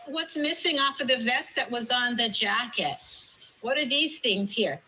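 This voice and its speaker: A woman speaking as a preschool teacher, instructive and deliberate, using simple wording. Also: a little high, animated, bright, forceful tone, slight background noise, low-quality recording. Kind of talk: instructive talk to and about young children.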